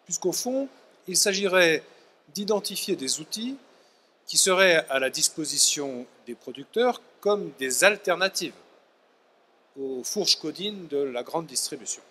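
Bright-voiced middle-aged professor. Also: male voice, a little high, animated, unhurried, a little hiss or hum. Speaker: university professor, panel guest. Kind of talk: trade fair panel interview. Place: trade fair stand. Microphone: handheld microphone.

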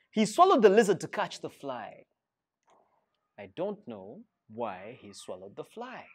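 A middle-aged man with a Ugandan accent reading a rhyming picture book aloud to children in a playful, encouraging way. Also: sits high. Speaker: storyteller reading to schoolchildren. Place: indoor school reading room with seated children.

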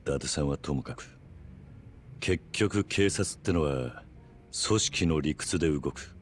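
A man's voice: extremely low pitch, unhurried, a little hiss or hum. A male actor playing a stern, resolute middle-aged yakuza veteran in a video game, speaking in terse, grave, blunt phrases. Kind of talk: dramatic video game acting.

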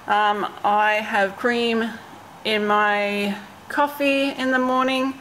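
Australian woman, 30s, mid-pitched, casual home vlogger talking off the cuff, faint noise behind her. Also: a bit bright, poor audio. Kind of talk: casual chat, narrating a grocery haul.

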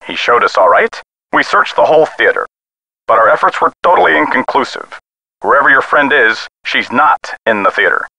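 Game role: skeptical, weary American cop on the phone, mid-pitched, hard-edged, folksy and condescending. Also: male voice, animated, moderate pace, gravelly, pushed and bright, no room sound, heavily acted, rough recording. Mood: dismissive. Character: dismissive, weary male police sergeant, skeptical and patronizing. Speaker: police missing-persons officer. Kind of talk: video game voice acting.

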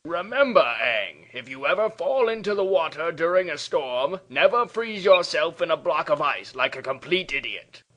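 A man's voice: fairly high pitch, big pitch swings, moderate pace, fairly bright tone. A man playing an old monk mentor in a cartoon parody, comically earnest and preachy. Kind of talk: comedic cartoon parody dub.

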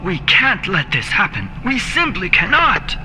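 A man's voice: high-pitched, wildly swooping pitch, a little slow, sharp tone, faint music behind, heavily acted. An actor playing a frantic, alarmed man in a video game, with emphatic, stretched exclamations. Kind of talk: video game cutscene acting.

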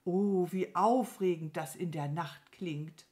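A woman voicing a gentle, affectionate, dreamy cow in a children's storybook, with a mild, mooing manner. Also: on the low side, takes it slowly. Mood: calm.

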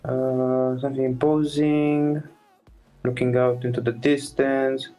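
Female game streamer: extremely low pitch, terse casual remarks, faint music behind. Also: flat delivery, slow, mellow, rough recording. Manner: terse, offhand. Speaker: gaming streamer.

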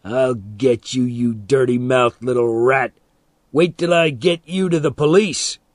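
A man voicing a big, angry bully in a story, shouting, taunting and threatening in a raised voice. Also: medium pitch, unhurried, no room sound, studio quality, lightly acted.

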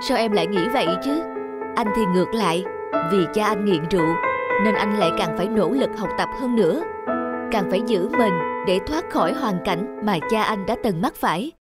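A woman voices a young self-made businessman in a cartoon. He speaks in an earnest, articulate and encouraging way, like a mentor. Music is clearly audible behind him. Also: medium pitch, lively intonation, normal speed, lightly acted. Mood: earnest, advising. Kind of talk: cartoon character acting.

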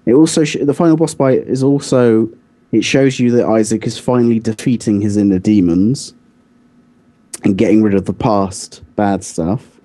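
Low, mellow-voiced man debating. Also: normal speed. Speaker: podcast co-host. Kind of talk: casual podcast debate.

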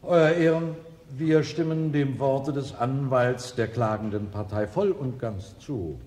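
A man's voice: medium pitch, measured pace, darkish tone, close to an everyday voice. A man playing an eloquent, combative defence lawyer in a radio play, speaking formally and insistently. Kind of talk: 1970s German radio play acting. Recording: echoey reverberant hall, old radio production.

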